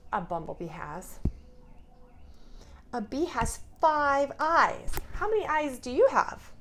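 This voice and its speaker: Female preschool teacher for a children's craft lesson; unhurried, instructive, mid-pitched, with slight noise behind. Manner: instructive, asks the listener simple questions. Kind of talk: hands-on lesson for young children. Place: indoors at a table, drawing on paper cards.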